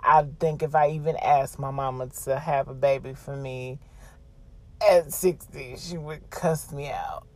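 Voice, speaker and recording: A woman's voice: rumbling low, fairly even intonation, unhurried, warm tone, dry recording. A woman podcast host chatting casually and confessionally, blunt, slangy and freely swearing.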